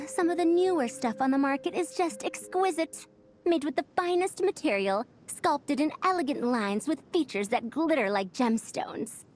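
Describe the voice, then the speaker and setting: Haughty, high-voiced refined young lady. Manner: haughty, elegant, precise. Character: proud, refined young lady puzzle enthusiast. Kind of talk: video game character dialogue. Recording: clear music under the voice.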